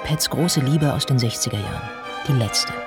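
Actor as male narrator, medium pitch, measured literary scene-setting, radio drama, clear background music. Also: natural voice. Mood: composed. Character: male storyteller setting scenes of a writer's life.

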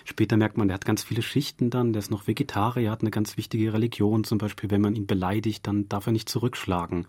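A man with a lowish, rough-edged voice talks as an interview guest. He explains things conversationally, thinking aloud in long winding sentences. The recording is dry and close. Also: little pitch movement, fast talker, hardly any pauses, darkish tone, dry recording. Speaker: radio drama director, interview guest. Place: dry radio studio.

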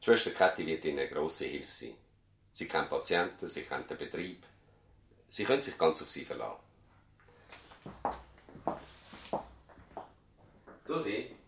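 An older country doctor in a 1970s radio drama, with an extremely low voice. He speaks with a Swiss German accent and addresses people in a courteous, collegial, formal way. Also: animated, a little fast, breathy edge, heavily acted. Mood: courteous, businesslike. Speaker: actor in a radio play.

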